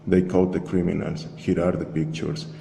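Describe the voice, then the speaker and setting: Low gravelly guy reading a reflective story, flat and measured, like a spoken word art piece. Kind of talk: slow scripted first-person narration, spoken-word art piece. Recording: echoey long reverb, faint hiss.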